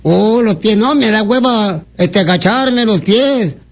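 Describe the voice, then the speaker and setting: A man's voice: sits high, slow pace, heavily acted. A man voicing a lonely, silly male caller who rambles, teases and flirts on a radio prank call. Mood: teasing. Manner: rambling, teasing, crude jokes.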